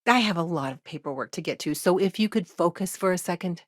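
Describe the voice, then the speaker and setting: Game role: busy space-colony lawyer, woman with lowish voice, measured and wry, close dry sound. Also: expressive, no echo. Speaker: voice actor in a video game. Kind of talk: scripted game dialogue.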